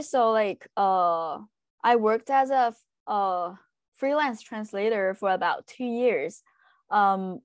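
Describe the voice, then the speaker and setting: A woman's voice: mid-range voice, no room sound. Chinese-accented young woman, dry close sound. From China. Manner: hesitant, frequent fillers and pauses. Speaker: guest, translation student. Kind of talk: casual interview answers.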